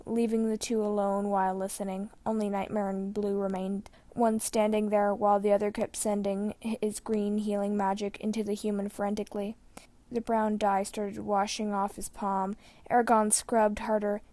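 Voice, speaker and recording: A woman's voice: mid-range voice, little pitch movement, normal speed, warm tone, no room sound. A woman reading fan fiction aloud as the narrator, telling the story dramatically. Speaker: podfic narrator.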